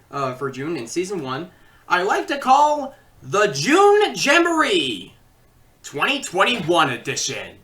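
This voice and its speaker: Guy doing a film review video, super lively pitch swings, bit far from the mic, theatrical then rambly. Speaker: online film reviewer and host.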